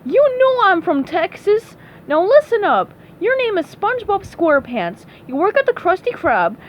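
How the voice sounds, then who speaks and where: Woman voicing a high, bossy cartoon squirrel gal. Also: very animated, a little slow, heavily acted. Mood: frustrated. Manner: emphatic, no-nonsense. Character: bossy, exasperated female cartoon squirrel from Texas. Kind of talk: read-aloud children's cartoon storybook. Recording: dull, noisy recording.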